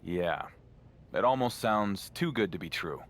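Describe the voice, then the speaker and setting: A man's voice: low-pitched, expressive, a little slow. An actor playing a level-headed, skeptical champion wrestler in a video game cutscene, talking as a dry, incredulous straight man.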